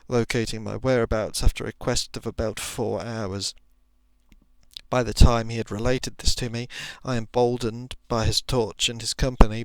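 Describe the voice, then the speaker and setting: A man reading a thriller short story as ASMR, in a soft, hushed, often whispered voice with dramatic, drawn-out phrasing. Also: mid-range voice, vocal fry, no echo. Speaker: narrator.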